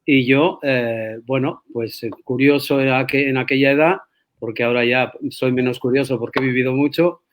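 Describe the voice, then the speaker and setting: A man's voice: medium pitch, moderate pace, slight background noise. Older Spanish man telling stories. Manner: relaxed storytelling with fillers. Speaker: guest, sports federation president. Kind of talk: casual interview.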